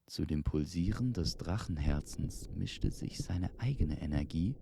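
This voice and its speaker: Casting: male storyteller, slow and mellow, solemn dramatic fantasy narration, echoing recording with ambience behind. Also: low voice, lively intonation, creaky. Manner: measured, solemn. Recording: reverberant, with noisy ambience behind.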